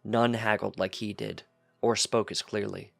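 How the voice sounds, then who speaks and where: A man's voice: lowish voice, level tone, measured pace, vocal fry, no room sound, faint music behind. A man reading a piece of fiction aloud as scripted narration.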